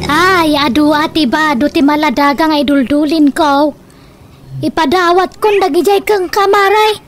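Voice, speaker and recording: A woman's voice: high-pitched, normal speed, soft background music, close to an everyday voice. A woman playing a helpful, familiar, chatty village neighbour in a radio comedy. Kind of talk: comedy radio drama acting.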